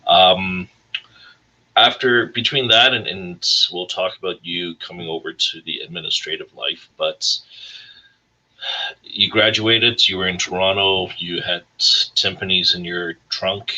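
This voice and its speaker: Man, deep voice, very bright and forceful, husky, talk show host, casual interviewing. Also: fairly even intonation, unhurried, poor audio. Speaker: host of a weekly music career show. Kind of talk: casual interview over online call.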